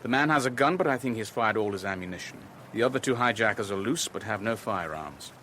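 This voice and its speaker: A man's voice: fairly low pitch, expressive, fast talker, bright, forceful tone, slight background noise. A man acting in a radio drama as a sardonic, defensive businessman and shipowner who is under attack. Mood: measured, tense.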